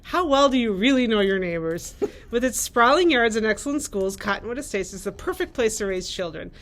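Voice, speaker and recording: A woman's voice: fairly high pitch, animated, a little fast, a bit bright. A woman, a librarian, reading book blurbs aloud and chatting casually about them. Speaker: librarian presenting book picks.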